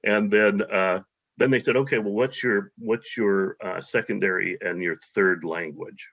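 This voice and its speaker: A man's voice: lowish voice, measured pace, a bit bright. A man being interviewed, talking hesitantly with many fillers and restarts. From United States. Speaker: guest. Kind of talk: casual interview. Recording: dull lo-fi audio.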